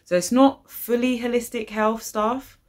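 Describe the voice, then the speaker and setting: A woman's voice: on the high side, steady tone, measured pace, a little mellow. A young adult woman with a British accent, chatting casually and informally as she hosts her vlog. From United Kingdom. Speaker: YouTube channel host. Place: living room, indoors.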